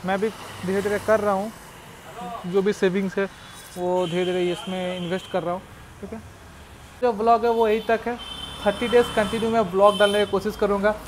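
A man's voice: high-pitched, little pitch movement, a little fast. A young man in his twenties chatting loosely as he gives a selfie tour of his small tea shop, filmed on a phone held at arm's length. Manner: chatty, loose and rambling, with frequent restarts. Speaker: small cafe owner and vlogger. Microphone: phone camera held at arm's length. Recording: noisy phone recording with street sounds.

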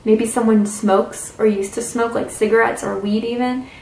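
A woman giving a casual tarot reading to camera at a table, chatty and intuitive, with drawn-out "ooh"s and "mm"s. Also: fairly high pitch, fairly even intonation, vocal fry, darkish tone. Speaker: tarot reader. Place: indoors at a tarot table with crystals and candles. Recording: roomy, distant camera mic with faint hiss.

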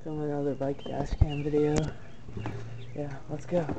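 A man's voice: on the high side, flat delivery, moderate pace. A man casually vlogging while riding a bike through a suburban street, whooping and calling out short remarks to his camera. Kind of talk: casual vlog while cycling. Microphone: bike-mounted action camera. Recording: muffled wind-blasted action-cam audio. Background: loud wind noise.

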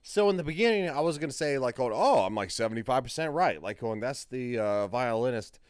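A man's voice: medium pitch, expressive, fairly quick, clean tone, dry recording. A man in his forties giving casual reaction commentary in a hesitant, rambling way, recorded on a close desk mic at home. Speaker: reaction video host. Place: small room at home, indoors. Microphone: close studio mic on a desk, with headphones.

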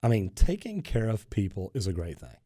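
A man's voice: fairly low pitch, brisk, no echo, studio quality. A man, telling stories as a plumber guest on a radio talk show. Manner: animated storyteller, gestures while talking. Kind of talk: casual radio chat and storytelling. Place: radio studio, indoors. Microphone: studio boom mic with headphones.

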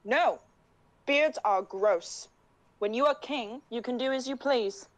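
Sassy young fantasy heroine voiced by a woman, higher register, assured and proud. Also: slow. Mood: firm, teasing. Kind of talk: character voice acting audition.

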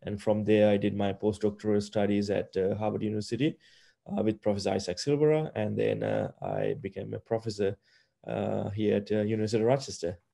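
Soft-toned man explaining with many fillers. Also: on the low side, a little fast, vocal fry. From Sri Lanka. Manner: hesitant, many fillers and restarts. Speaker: physics professor, guest. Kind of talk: interview over video call. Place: wooden-walled room at home. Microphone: video call.

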